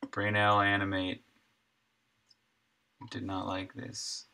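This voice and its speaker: A man in his thirties with a lowish, bright voice and plenty of creak. He talks casually to himself as he works at a computer, in short muttered fragments. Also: completely flat delivery, takes it slowly. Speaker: indie game developer, live streamer. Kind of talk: casual thinking aloud while coding on stream. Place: small room indoors, at a computer desk.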